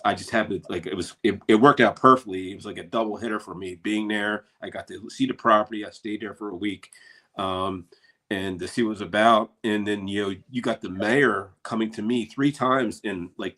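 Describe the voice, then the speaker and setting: A man's voice: lowish voice, steady tone, moderate pace, a bit bright. An American man chatting casually in a rambling, laid-back way, telling stories as a paranormal investigator. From eastern United States. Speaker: paranormal investigator and show cast member. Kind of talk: casual chat.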